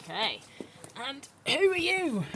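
A woman patiently asking children questions in an encouraging, gentle way. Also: sits high, sing-song, takes it slowly, slight background noise, low-quality recording. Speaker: interviewer of children.

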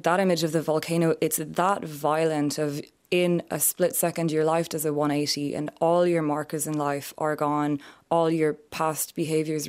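A woman's voice: sits low, a little mellow. A woman from France with an Irish accent, an author talking earnestly and steadily in a radio interview. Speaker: author guest.

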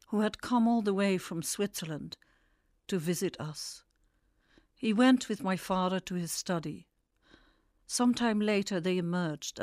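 An older woman from the Netherlands, a native Dutch speaker, reading a personal memoir in a measured, reflective way. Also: mid-range voice, no echo, clean studio sound. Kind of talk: scripted personal memoir narration.

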